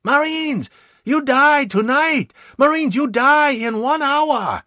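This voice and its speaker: A man's voice: very high voice, lively intonation, slow, sharp tone, no room sound, studio quality, pitched above the performer's own voice, full character voice. A man voicing a jeering enemy soldier who screeches sing-song threats in an audiobook war story. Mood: menacing, taunting.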